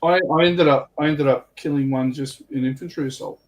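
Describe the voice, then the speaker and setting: A man's voice: a little high, steady tone, fairly quick. A man speaking casually as a panelist on a hobby livestream.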